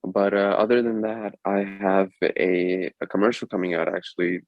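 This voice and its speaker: A man with a fairly low, quite flat voice chats as an actor guesting on a podcast. His delivery is informal and halting, and the recording is very dry. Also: no echo, dull audio. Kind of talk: casual chat.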